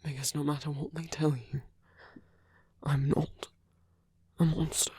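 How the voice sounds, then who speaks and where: An actor playing a brooding, protective male villain in love with his enemy, in an intimate audio roleplay with a breathy voice that often drops toward a whisper. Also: a little high, little pitch movement, a little slow, warm tone, no room sound, exaggerated voice. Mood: earnest, resigned. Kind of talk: ASMR roleplay audio drama, intimate monologue.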